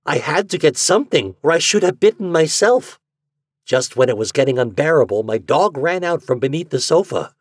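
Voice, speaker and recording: A man voicing a sinister, cunning gentleman from an old horror story, eerily calm yet intense in manner. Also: a little high, normal speed, husky, dry recording, studio quality. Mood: feverish hunger. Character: sinister, cunning male host obsessed with raw meat.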